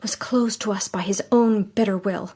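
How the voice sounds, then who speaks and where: A woman acting as a young society lady who works as a detective in a radio mystery. She speaks with refined, heartfelt earnestness and a proud, loyal streak, at a middling pitch. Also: fairly even intonation, moderate pace, warm tone, husky, close to an everyday voice. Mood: earnest, reflective.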